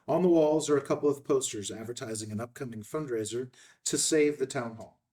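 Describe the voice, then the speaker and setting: A man's voice: mid-range voice, a little fast, dark tone, distant mic. A man narrating scene headings and stage directions from a screenplay in a scripted read.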